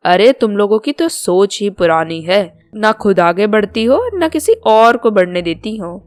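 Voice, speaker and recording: A woman's voice: medium pitch, expressive, a little slow, darkish tone, no echo, clean studio sound, natural voice. A woman voicing an older small-town mother-in-law, chatty, proud and eager to seem modern, in storybook dialogue. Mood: defiant. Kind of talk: Hindi storybook cartoon dialogue.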